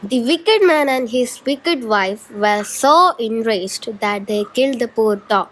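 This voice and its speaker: High-voiced young female storyteller. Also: lively intonation, takes it slowly, a bit bright, poor audio. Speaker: student narrator. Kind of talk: scripted storybook narration.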